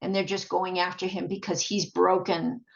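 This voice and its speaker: A woman video blogger giving a rambling, casual monologue in a halting, repetitive way, often trailing off. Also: lowish voice, little pitch movement, vocal fry. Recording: dull, muffled lo-fi sound.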